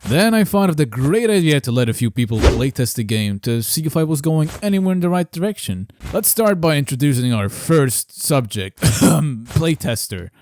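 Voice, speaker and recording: A man's voice: on the high side, big pitch swings, normal speed, hardly any pauses, a little mellow, slight background noise. A man narrating a scripted but casual video devlog in a self-deprecating, sarcastic way.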